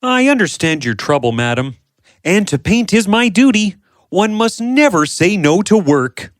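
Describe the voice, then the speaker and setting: A man's voice: high-pitched, very animated, unhurried, no echo, voice pushed up. A man voicing an honest, humble village painter in a storybook tale, speaking politely and earnestly. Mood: willing, polite.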